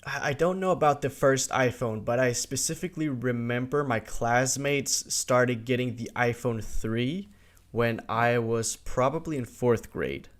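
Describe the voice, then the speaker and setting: A man's voice: mid-range voice, measured pace. A man in his twenties hosting a casual podcast interview, easygoing and agreeable, asking open questions. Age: 20s. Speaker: podcast host.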